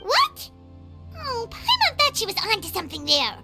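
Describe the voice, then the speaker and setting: A woman voicing a tiny, childlike, excitable fairy companion in a video game, with a squeaky, chirpy delivery. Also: normal speed, creaky, full character voice. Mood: teasing, surprised. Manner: speaks of herself in third person, chirpy. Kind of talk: video game character acting. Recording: game music behind the voice. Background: game music.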